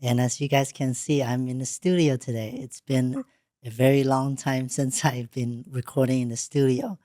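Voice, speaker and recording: A woman, not a native English speaker, hosting an interview in a studio, talking in a halting way with frequent restarts. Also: rumbling low, steady tone, mellow, dry recording. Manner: halting, restarts often, plain unpolished English. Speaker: podcast host. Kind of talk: casual interview.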